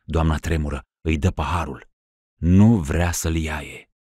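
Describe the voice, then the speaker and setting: A man voicing a boyar who quietly reports what he sees through a door, in a story reading. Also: very deep voice, slightly rough, dry recording, clean studio sound. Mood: hushed. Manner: hushed, reporting. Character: hushed male boyar watching at a door.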